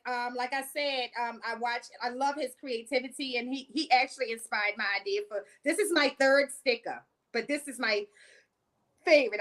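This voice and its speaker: A woman's voice: fairly high pitch, fairly bright tone. A woman with a Baltimore accent hosting a casual podcast, laughing often as she reads viewer comments aloud. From Baltimore, USA. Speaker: podcast host. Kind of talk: casual live-stream chat.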